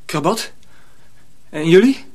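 Timid young apprentice, husky voice, hesitant replies, radio drama. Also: male voice, fairly high pitch, animated, takes it slowly, darkish tone, heavily acted. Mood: wary curiosity. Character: timid young boy, new apprentice at a mill.

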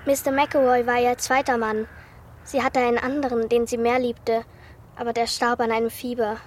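A woman's voice: fairly high pitch, level tone, normal speed, slight background noise, natural voice. An actress playing an observant, candid young girl in a radio play, talking in a chatty, matter-of-fact way.

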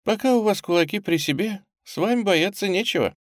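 Male reader as elderly lady, anxious, kindly, higher pitch, dry sound, audiobook character. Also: fairly quick, no room sound, natural voice. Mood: lightly smiling. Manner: nervous, agitated.

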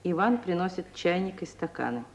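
A woman's voice: lowish voice, lively intonation, fairly quick. A woman reading scripted narration of the action in a 1950s radio play. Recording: old radio recording, faint hiss.

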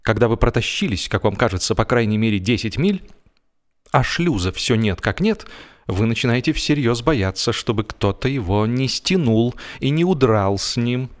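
A man reading a scripted humorous story as a narrator, with an expressive, theatrical delivery. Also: mid-range voice, moderate pace. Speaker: audiobook narrator and performer.

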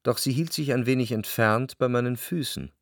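A man's voice: medium pitch, no room sound, lightly acted. Actor as anxious, brooding man narrating, dry close sound. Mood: brooding, introspective. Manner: measured, introspective, literary phrasing. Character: anxious, jealous, brooding lover recalling his life as narrator. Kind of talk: literary radio drama narration with quiet dialogue.

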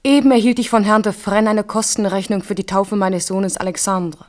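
An actress playing a devoted, proud young noblewoman, a king's mistress, in a radio drama with formal, deliberate diction. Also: mid-range voice, steady tone, natural voice. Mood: cool indignation. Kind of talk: 1970s German radio drama acting.

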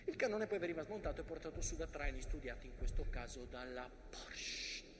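A man's voice: fairly high pitch, lively intonation, a little fast, lightly acted. A man acting a haunted, fervent storyteller of First World War trenches in a theatrical, urgent stage monologue. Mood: forceful, factual. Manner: theatrical, urgent, swinging between hushed and declaimed. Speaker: stage actor. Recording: reverberant theatre hall, clear background noise.

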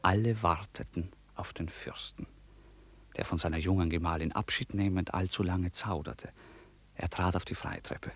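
Deep guy narrating an old novella for radio, formal and measured. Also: fairly even intonation, husky. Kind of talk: scripted literary narration.

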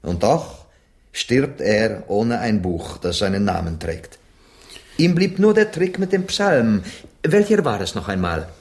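A Spanish gentleman of a bygone age, passionate and philosophical. His lowish voice swings widely as he declaims in theatrical radio-play style, dropping in Spanish exclamations. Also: sing-song, measured pace. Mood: musing, fervent. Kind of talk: theatrical German radio drama acting.

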